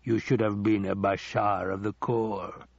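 A man voicing a scheming, silkily menacing older nobleman in an audiobook, with slow, drawn-out taunts. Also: on the low side, level tone, vocal fry, no echo, dull audio. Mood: taunting.

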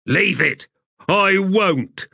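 A male narrator voicing a stubborn, surly soldier who growls out slow, drawled slang. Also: high-pitched, expressive, creaky, bright, forceful tone, husky, no room sound, clean studio sound, voice pushed up, exaggerated voice.

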